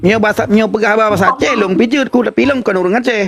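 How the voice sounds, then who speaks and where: A man's voice: sits high, quick pace, gravelly, a bit bright, no room sound. A man in an informal live debate chat, taunting and repeating his points. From Indonesia. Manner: taunting, repetitive.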